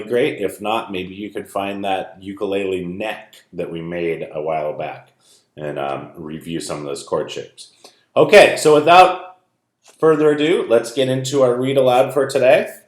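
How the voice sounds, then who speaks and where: A man's voice: low-pitched, normal speed. A man speaking as a music teacher, informally explaining things to young students in a video lesson and read-aloud. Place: indoor room at home. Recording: roomy indoor echo.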